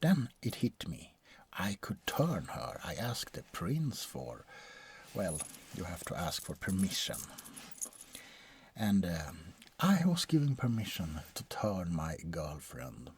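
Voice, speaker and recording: Man playing a slow, low-voiced, bored vampire doctor. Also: huge pitch swings, vocal fry, breathy edge, full character voice. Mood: gloomy, wistful. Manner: hesitant, rambling, weary, dryly morbid. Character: bored, grumpy, centuries-old male vampire doctor. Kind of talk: soft-spoken ASMR roleplay monologue.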